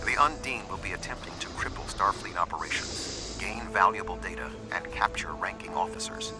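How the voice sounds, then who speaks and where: A man playing an older, composed alien admiral in a video game, formal, measured and unemotional. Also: low-pitched, animated, over music. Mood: calm, grave.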